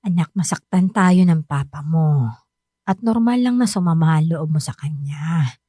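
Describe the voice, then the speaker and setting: Mellow, sorrowful middle-aged mother. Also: low voice, lively intonation, moderate pace, husky, dry recording, clean studio sound. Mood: gently admonishing. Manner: soft, pleading, hesitant confessions. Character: gentle, sorrowful middle-aged mother pleading with grown son. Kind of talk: radio drama acting.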